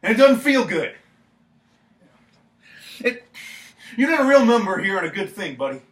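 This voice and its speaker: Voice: medium pitch, very animated, normal speed, close to an everyday voice. An actor playing an agitated, wounded male best friend in a staged play reading, stammering and emphatic as he repeats himself. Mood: flustered, indignant. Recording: echoey live room, distant mic, faint noise.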